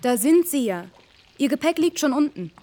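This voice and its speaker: A woman playing a brisk, practical young woman who runs her family's household in a radio play, bossy and matter-of-fact. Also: high voice, lively intonation, dark tone.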